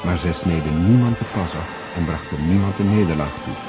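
A man with a fairly low voice narrates a historical radio play. His delivery is measured, formal and literary, and music plays clearly behind him. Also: muffled recording.

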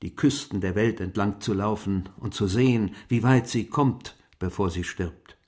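Wistful elderly gentleman diarist, acted by a man, measured. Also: fairly low pitch, lightly acted. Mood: contemplative. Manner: measured, contemplative, formal, precise diction. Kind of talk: literary radio play monologue, reading diary entries.

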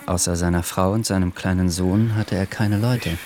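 A man giving scripted literary narration for a radio drama in a measured storytelling manner. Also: low voice, steady tone, darkish tone, slight background noise.

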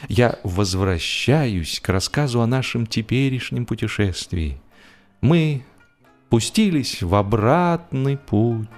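A man narrating a humorous literary classic in an expressive, theatrical and wry way, with deliberate phrasing. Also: medium pitch. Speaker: audiobook narrator and actor. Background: music.

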